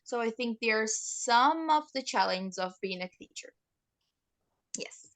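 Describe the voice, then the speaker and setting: A woman's voice: on the high side, expressive, unhurried, fairly bright tone. A nineteen-year-old woman from Brazil with a Brazilian Portuguese accent, a teacher answering interview questions thoughtfully with frequent restarts. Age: teens.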